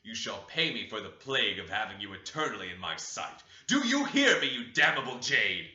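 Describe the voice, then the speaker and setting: A man's voice: fairly low pitch, very animated, moderate pace, full character voice. Man putting on a harsh, grief-torn landlord. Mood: raging. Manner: sobbing, pleading; loud and raging. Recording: roomy, dull lo-fi recording.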